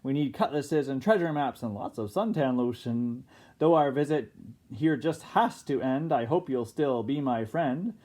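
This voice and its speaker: A storyteller voicing a friendly young pirate boy, explaining himself in an earnest, gentle, wistful way. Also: medium pitch, a little slow, close to an everyday voice.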